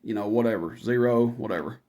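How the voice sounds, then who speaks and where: A man's voice: lowish voice, fairly quick, background noise. A man giving a casual product demonstration as a seller, talking informally and rambling through each step as he goes.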